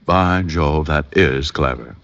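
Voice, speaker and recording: An actor playing a wry, sarcastic, unflappable private detective in an old-time radio drama. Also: male voice, a bass voice, slow, creaky, a bit bright, full character voice. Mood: dry, sarcastic. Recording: dull, lo-fi vintage radio recording.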